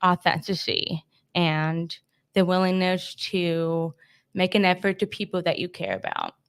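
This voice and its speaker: A woman's voice: lowish voice, animated, a little slow, clean tone. A woman of twenty-five on a podcast panel, plainspoken and a little hesitant. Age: 20s. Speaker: panel guest. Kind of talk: casual chat.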